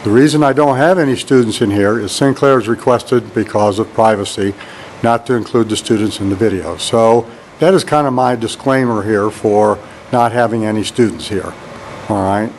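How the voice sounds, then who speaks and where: A networking instructor with a deep, monotone voice, rambling a little and saying "all right" often. He was recorded on the mic of a handheld camera as he walked through an empty computer lab. A faint hum of air conditioning sits behind him. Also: normal speed, poor audio. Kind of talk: casual classroom tour walkthrough. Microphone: handheld camera mic while walking.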